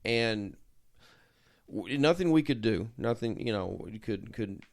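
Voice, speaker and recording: Older male co-host, lowish smooth voice with fry, halting casual talk. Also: lively intonation, no room sound, studio quality. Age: middle-aged or older. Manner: halting, searching for words. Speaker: show co-host. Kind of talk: casual chat.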